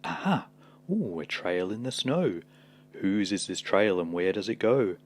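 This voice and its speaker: A man voicing a brave, curious young monster daughter in a storybook, with excited, wide-eyed questions. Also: on the low side, measured pace, full character voice.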